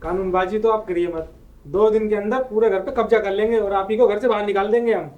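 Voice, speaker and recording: Fast, high-voiced bullying young tenant played by a man. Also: fairly even intonation, darkish tone, close to an everyday voice. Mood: threatening. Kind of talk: melodramatic short-film acting.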